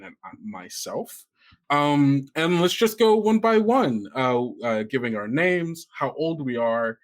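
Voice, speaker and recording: Guy running an rpg podcast, mid pitched, informal n jokey, chatting w players. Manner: joking, informal. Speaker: game master and host. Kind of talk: casual hosting of a tabletop game podcast.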